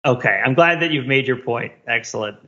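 Bright, forceful man, wordy podcast co-host. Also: medium pitch, brisk, muffled recording. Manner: wordy, analytical, jokey. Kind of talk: casual discussion of films.

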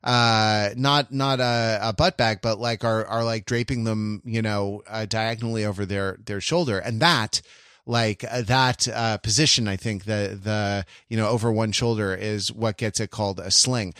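A man in casual podcast conversation, co-hosting with a halting, digressive manner and frequent self-correction. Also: on the low side, fairly even intonation, a bit bright, smooth, no room sound, clean studio sound. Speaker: podcast co-host.

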